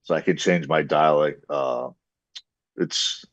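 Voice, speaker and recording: Deep-voiced man from the UAE, hesitant. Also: takes it slowly, dry recording. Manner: modest, hesitant, many uh fillers. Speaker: guest. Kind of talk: casual interview talk.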